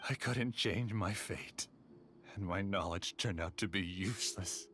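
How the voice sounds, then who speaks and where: An actor playing an obsessive, grieving scholar villain in a video game, theatrical and emotional. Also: male voice, sits low, unhurried, noisy background. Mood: despairing, bitter. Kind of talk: dramatic video game voice acting. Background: game music.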